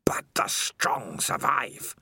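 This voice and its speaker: Creepy giant rat, put on by a man, hissing whisper, slow and coaxing, low voice, dry sound. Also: animated, sharp tone, breathy edge, dry recording, heavily acted. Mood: menacing. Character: sinister hidden male giant rat, whispering.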